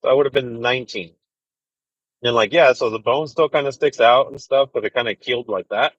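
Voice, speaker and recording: A man in his thirties chatting casually with viewers on a livestream, rambling and relaxed with lots of fillers. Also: medium pitch, a little fast, no room sound, lo-fi sound. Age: 30s. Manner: laid-back, rambling, full of fillers and "dude". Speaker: truck driver livestreamer. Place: inside a truck cab.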